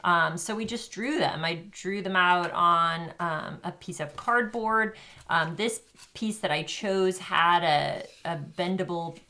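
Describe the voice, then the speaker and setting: Woman with a lowish voice, gentle kids show host walking thru a craft demo. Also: slow. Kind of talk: casual explanatory craft demonstration.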